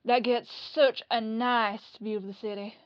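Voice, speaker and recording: A woman's voice: on the high side, level tone, a little slow, no room sound, muffled recording, close to an everyday voice. Lady doing a folksy detective, humble n rambly, apologetic, casual, dry audio drama sound. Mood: humble, chatty. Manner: rambling, self-effacing, disarmingly casual. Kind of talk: ASMR roleplay audio drama.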